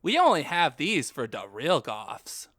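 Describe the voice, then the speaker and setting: A woman's voice: deep voice, huge pitch swings, unhurried, fairly bright tone, dry recording, clean studio sound, heavily acted. A woman voicing a laid-back, flirtatious male goth shop salesperson in a comic story reading, casual and confiding.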